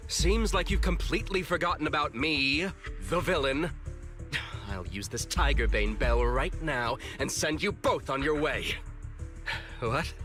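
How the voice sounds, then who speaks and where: A man's voice: fairly high pitch, lively intonation, unhurried, a bit bright, soft background music. A man playing a pompous, hot-headed cult villain in a video game, speaking in a grandiose, self-important way. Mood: indignant, threatening. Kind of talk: dramatic video game voice acting.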